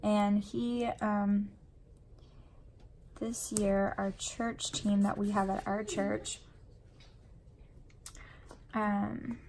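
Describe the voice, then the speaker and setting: A woman's voice: mid-range voice, a little mellow, a little hiss or hum, poor audio. A sniffly woman with a runny nose, chatting in a rambling way while she decorates her planner at home. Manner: rambling and chatty, full of fillers. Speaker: planner vlogger and mom.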